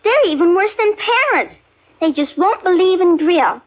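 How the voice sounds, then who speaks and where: A woman's voice: extremely high pitch, lively intonation, moderate pace. An actress playing an eager, secretive young girl with an offhand, slangy, childlike manner in a 1950s radio drama. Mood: scornful. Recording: muffled vintage 1950s radio recording.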